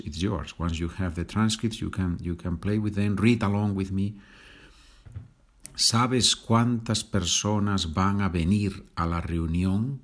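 A man with a Spanish accent gives a patient lesson, speaking slowly and repeating each phrase. His voice sits very low, and he takes his time over every word. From Spain. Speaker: Spanish teacher. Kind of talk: slow, repetitive language lesson.

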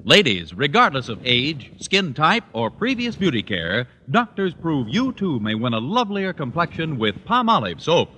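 Bright, emphatic American radio pitchman. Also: big pitch swings, normal speed, hardly any pauses. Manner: emphatic, punchy salesmanship. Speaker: commercial announcer. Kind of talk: 1940s-50s radio advertising pitch. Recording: slightly noisy vintage radio recording.

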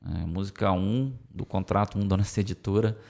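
Guest, male, very low voice, Brazilian country twang, easygoing storyteller. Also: a little fast, vocal fry. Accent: Brazilian Portuguese with a country twang picked up from the Center-West. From São Paulo state, Brazil. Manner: storytelling, relaxed, many fillers. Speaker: music publisher guest. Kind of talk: casual interview talk.

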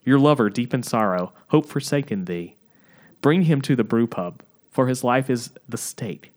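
A man's voice: mid-range voice, animated, normal speed, darkish tone, lightly acted. A man playing a mock-solemn barkeep peddling a potion, with an attempted Southern US accent and archaic wording. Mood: portentous. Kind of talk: amateur comic period-play read.

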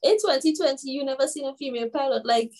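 A woman in her twenties from Grenada, a guest telling stories in a casual interview, chatty and animated with many fillers. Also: sits high, fast talker. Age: 20s. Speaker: guest, children's author.

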